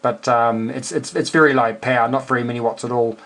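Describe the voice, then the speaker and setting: A man's voice: medium pitch, steady tone, creaky, a bit bright. Hesitant New Zealand man talking radio tech. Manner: hesitant, halting, explanatory. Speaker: amateur radio operator. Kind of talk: casual technical radio chat.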